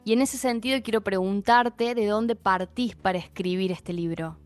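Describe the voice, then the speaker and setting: A woman's voice: medium pitch, normal speed, no echo. Argentine woman hosting a podcast interview. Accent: Argentine Spanish. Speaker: podcast host. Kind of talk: interview conversation.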